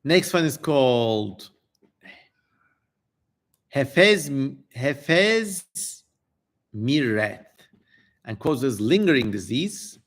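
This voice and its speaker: A man's voice: mid-range voice, very animated, drawn-out words, pauses often, a bit bright. A man doing a dramatic live reading of an old text, theatrical on strange names, with casual, irreverent, sweary asides. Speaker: streamer reading an ancient text aloud.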